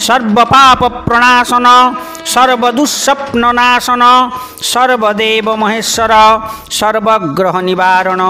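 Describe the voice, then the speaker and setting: Male devotional reciter, high bright voice, measured incantatory phrasing, music underneath. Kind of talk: Sanskrit stotram chanting. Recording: music behind the voice.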